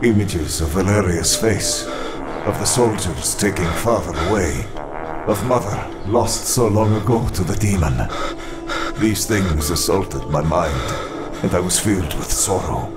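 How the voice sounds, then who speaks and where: A man playing a cold, imperious armored villain who narrates his origins in a grandiose, solemn, deliberate way for a motion comic. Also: low voice, expressive. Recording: loud music underneath.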